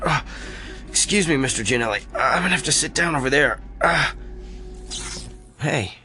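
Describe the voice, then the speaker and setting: A man's voice: mid-range voice, animated, normal speed, pushed and bright, faint music behind. A man acting in a radio drama, playing an arrogant, self-assured and brusque doctor. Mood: pained. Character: brilliant, arrogant, tactless male doctor. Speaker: actor.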